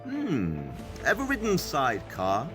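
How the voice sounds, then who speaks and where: Superior detective, dry n pointed, unhurried, big swoops in pitch, audio drama. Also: male voice, wildly swooping pitch, music in the background. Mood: brisk, irritated.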